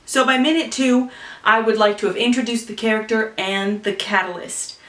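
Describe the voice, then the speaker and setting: A woman vlogging to camera in a casual, playful, rambling way, full of animated asides. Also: fairly high pitch, normal speed, recorded from a distance. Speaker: vlogger telling an improvised story. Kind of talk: casual chatty to-camera talk. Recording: echoey room, voice off-mic.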